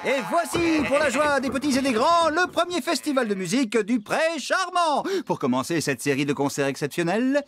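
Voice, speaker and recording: Cheery eager cartoon farmhand, high lively voice, music behind. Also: male voice, normal speed, few pauses. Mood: proud announcing. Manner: animated, exclamatory. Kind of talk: children's cartoon dubbing.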